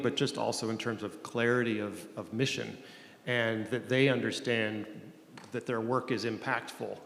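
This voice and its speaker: A man speaking on a conference panel, talking in halting phrases with many restarts. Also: mid-range voice, creaky, a bit bright. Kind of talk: panel discussion. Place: conference room. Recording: echoey hall, faint noise.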